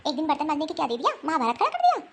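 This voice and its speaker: A woman plays a quarrelsome young wife, the elder daughter-in-law, who snaps back with rude, defiant retorts. Her voice is very high for a woman. The scene comes from a homemade family skit. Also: wildly swooping pitch, rapid-fire, a bit bright, slight background noise, heavily acted.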